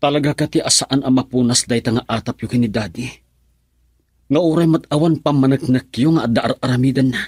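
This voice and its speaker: A man acting as a headstrong young Filipino son in a radio drama. His voice is very husky and rough-edged, at a medium pitch, recorded close and dry. He speaks earnestly and defiantly. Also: fairly quick, a little mellow, dry recording, natural voice. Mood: pleading, resolute. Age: young adult.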